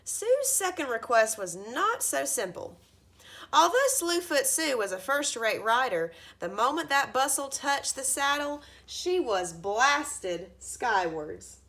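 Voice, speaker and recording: A woman in her thirties reading a children's storybook aloud like a teacher, with expressive emphasis at the starts of phrases. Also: high voice, slow pace. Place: carpeted living room at home, indoors.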